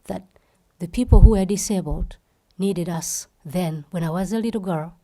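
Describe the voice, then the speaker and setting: Warm-voiced woman giving a passionate speech. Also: on the low side, moderate pace. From Uganda. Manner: emphatic and heartfelt, repeats phrases for stress. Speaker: festival founder giving a speech. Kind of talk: impassioned reception speech.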